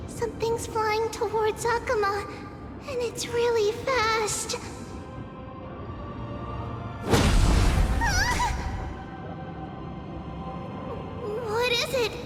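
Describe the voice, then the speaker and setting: A woman's voice: extremely high pitch, takes it slowly, long pauses. A woman voicing a small, earnest, tender-hearted demigod girl in a video game, with a youthful, emotive delivery. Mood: alarmed. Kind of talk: dramatic game voice acting. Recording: echoey reverberant hall, music behind.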